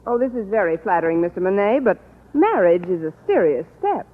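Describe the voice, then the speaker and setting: A woman's voice: mid-range voice, big pitch swings, fairly quick. An actress playing a dry, sarcastic woman schoolteacher in radio sitcom style. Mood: wry. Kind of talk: 1950s radio sitcom acting. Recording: tinny old radio with loud studio audience.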